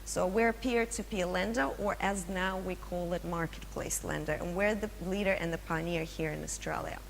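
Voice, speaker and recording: A woman's voice: lowish voice, steady tone, normal speed, gravelly. A woman with a Russian accent giving a business presentation at a conference, with frequent fillers and restarts, asking the audience questions. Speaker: conference speaker. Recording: long hall reverb, faint hum.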